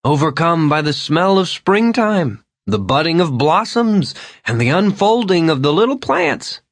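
A man's voice: a little high, sing-song, measured pace, no room sound, pitched above the performer's own voice, heavily acted. A man voicing a drunk, disheveled middle-aged man who rambles, slurs and swings between overly polite and maudlin. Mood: rambling, maudlin. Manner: slurred, wandering, overly polite then maudlin.

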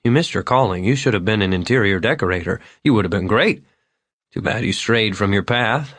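A man's voice: fairly low pitch, animated, brisk, a bit bright, dry recording. A man voicing a drunk, disheveled middle-aged man who rambles, slurs and swings between overly polite and maudlin. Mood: rambling, maudlin. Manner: slurred, wandering, overly polite then maudlin.

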